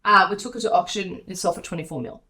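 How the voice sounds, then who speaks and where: A woman's voice: fairly low pitch, fast talker, sharp tone. An Australian woman who grew up speaking Taiwanese talks in loose, rambling sentences full of fillers. She sounds like an interview guest caught on a poor mic, with a roomy echo around her voice. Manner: chatty, loose run-on sentences, many fillers. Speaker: guest, estate agent and DJ. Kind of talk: casual interview chat. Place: hotel room in a city. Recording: echoey room, poor mic.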